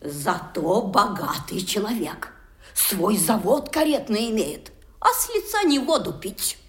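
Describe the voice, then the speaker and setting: A practical stepmother from a radio play, worldly and set on a good match. Her voice is medium in pitch and very animated, persuading in an emphatic, matter-of-fact way. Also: measured pace. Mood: persuasive, calculating. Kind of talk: radio drama acting.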